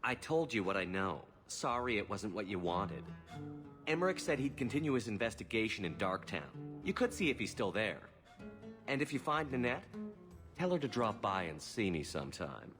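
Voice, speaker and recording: An actor playing a charming male courtesan who talks in a smooth, flirtatious and self-mocking way. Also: fairly low pitch, very animated, normal speed. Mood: flirty. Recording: game cutscene with guitar music.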